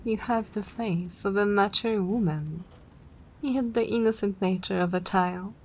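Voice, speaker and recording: A woman's voice: mid-range voice, a little slow, low-quality recording. A woman voicing a composed, teasing and menacing vampire mistress in an ASMR roleplay, with a formal, condescending drawl. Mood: condescending, probing.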